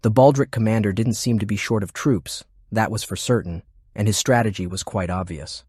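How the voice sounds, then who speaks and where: Low-pitched man narrating, very dry sound. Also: moderate pace, darkish tone, husky, no echo. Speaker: audiobook narrator. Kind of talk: scripted narration of war fantasy fiction.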